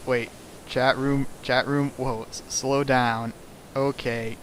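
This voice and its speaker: A man's voice: mid-range voice, flat delivery, slow, slight background noise, rough recording. A man reading a fan fiction aloud on a stream and mocking it with sarcastic, exasperated commentary. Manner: sarcastic, exasperated, shifts into mock voices. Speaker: fanfic reader and riffer. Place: home streaming setup.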